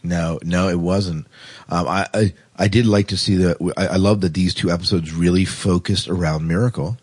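Deep-voiced enthusiastic man, podcast co-host. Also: steady tone, normal speed, a little mellow, slightly rough, no room sound. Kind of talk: casual chat about sci-fi TV.

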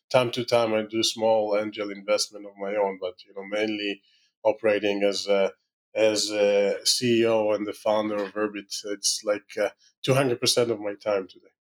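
A middle-aged man with an Israeli accent, a startup founder answering podcast questions in halting English full of "you know" fillers. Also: fairly low pitch, rough recording. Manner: halting, many "you know" fillers, non-native grammar.

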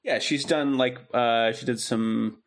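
Gravelly-voiced man chatting casually. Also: mid-range voice, fairly even intonation, slow pace. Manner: rambling, many fillers and restarts. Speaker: podcast co-host. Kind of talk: casual chat.

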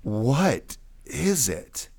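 A man, a pastor, telling his personal testimony in conversation as a guest, emphatic, with deliberate pauses and repeated phrases. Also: medium pitch, very animated, vocal fry, a little mellow, slightly rough.

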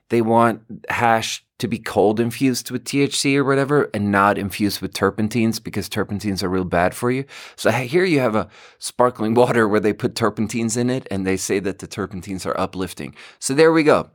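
A man's voice: fairly low pitch, normal speed, dry recording. A man hosting a casual solo podcast, talking in a rambling way, correcting himself and chuckling now and then, close to the mic in a small home studio. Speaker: podcast host.

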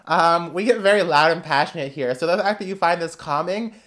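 A woman's voice: fairly low pitch, brisk, fairly bright tone. A young woman co-hosting a casual podcast, often laughing and talking with many fillers and restarts. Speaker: podcast co-host. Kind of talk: casual chat.

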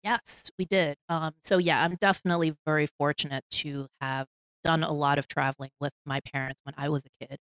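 A woman's voice: deep voice, fairly even intonation, fairly quick, a bit bright, dry recording, rough recording. A woman from Hong Kong with an American accent, chatting as a podcast guest and laughing often between phrases. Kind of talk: casual conversation.

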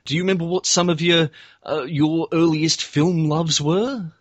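A man's voice: a little high, moderate pace, dry recording, lo-fi sound. A man hosting an interview, asking friendly, hesitant questions. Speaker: podcast host. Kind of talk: casual interview.

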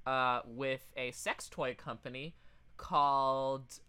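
Bright, clear-voiced man bantering on a podcast. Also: mid-range voice, steady tone, takes it slowly. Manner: informal, joking patter. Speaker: podcast co-host. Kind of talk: casual comedic banter, mock ad read.

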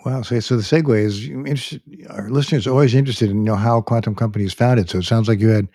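A man's voice: lowish voice, steady tone, quick pace, mellow, no echo, clean studio sound. A man hosting a tech podcast, formally introducing his guest and asking long, polite questions. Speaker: podcast host. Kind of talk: interviewing a guest.

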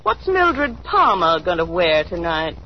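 A woman's voice: on the low side, very animated, a little slow. Fretful, doting mother in old radio drama. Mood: fussing. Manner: coaxing, pleading, fussing. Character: fretful, doting middle-aged mother, coaxing and fussing. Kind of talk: 1930s radio drama acting. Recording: muffled, slightly noisy 1930s radio broadcast.

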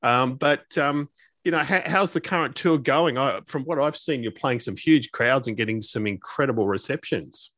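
A male podcast host talks in a chatty interview style, feeling his way through his phrasing. His voice sits at an average male pitch and is recorded dry, with very little room around it. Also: moderate pace, fairly bright tone, dry recording. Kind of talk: conversational interview.

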